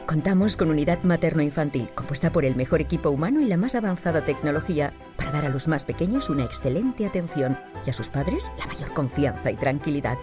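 Female ad voice, very low pitch, varied melody, music bed. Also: lively intonation, a little fast. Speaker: ad voice-over. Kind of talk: scripted clinic ad. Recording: ad read over music.

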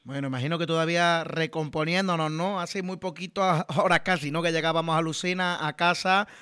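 Friendly radio sports host. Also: male voice, fairly high pitch, steady tone, fairly quick, pushed and bright, clear, resonant tone. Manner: friendly, conversational, with frequent tag questions. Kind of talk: local radio sports interview.

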